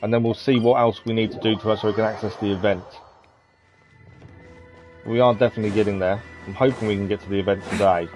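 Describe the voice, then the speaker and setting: Low-voiced man chatting on a game stream. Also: steady tone, fast talker, darkish tone. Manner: conversational, explanatory. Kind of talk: casual live stream chat. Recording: slightly dull mic over game music.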